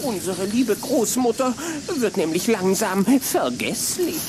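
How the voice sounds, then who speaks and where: A man playing a mocking, unpredictable teenage boy vampire in a children's radio play, with theatrical, drawn-out taunting. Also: high-pitched, animated, background noise. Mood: sly coaxing.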